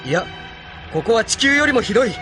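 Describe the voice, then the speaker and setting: An actor playing an idealistic, troubled young rebel leader in an anime audio drama, intense and questioning. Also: male voice, very high voice, very animated, fairly quick, pushed and bright, faint music behind, exaggerated voice. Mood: indignant.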